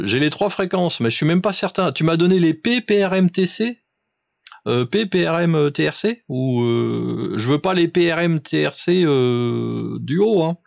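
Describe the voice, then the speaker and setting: A man with a gravelly, mid-pitched voice talks informally, like a radio operator patiently setting someone straight. He is didactic and exacting about each instruction. The sound is close and dry, with a slightly muffled, lo-fi tone. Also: darkish tone, no room sound. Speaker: amateur radio operator coaching another on settings. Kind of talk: casual instructional radio chat.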